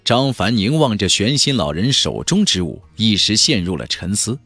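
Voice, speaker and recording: A man's voice: fairly low pitch, very animated, normal speed, bright, forceful tone, no room sound, faint music behind. A man narrating a fantasy novel as a dramatic, scripted audiobook.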